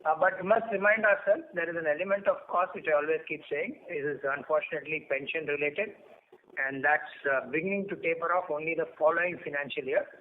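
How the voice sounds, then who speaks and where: A tinny conference line with faint noise carries a man with an Indian accent and a somewhat high voice. He answers like a senior banker, laying out his points in a measured, orderly way. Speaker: senior bank executive answering analysts. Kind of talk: earnings call answers. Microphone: telephone conference line.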